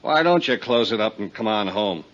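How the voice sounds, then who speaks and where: An American actor playing a weary, blunt private detective and loyal pal in a 1950s radio drama, grumbling with exasperation. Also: male voice, fairly low pitch, expressive, moderate pace, a bit bright. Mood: exasperated. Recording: dull 1950s radio recording.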